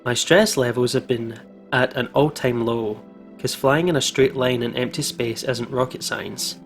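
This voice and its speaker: Male gaming co-host, medium pitch. Casual chat and read-aloud, informal and reactive, music underneath. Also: a little slow. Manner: informal, reacts with exclamations and banter. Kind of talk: casual let's-play chat and reading aloud. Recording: music playing behind the voice. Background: game music.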